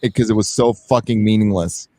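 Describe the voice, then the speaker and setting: A man's voice: fairly low pitch, a little fast, dark tone, no room sound. A man hosting a casual film discussion, blunt and swearing often in his talk. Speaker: livestream host.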